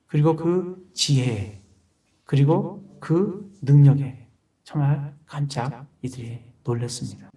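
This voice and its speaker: A man's voice: medium pitch, mellow, distant mic. A man preaching a sermon as pastor, explaining a passage verse by verse and asking rhetorical questions.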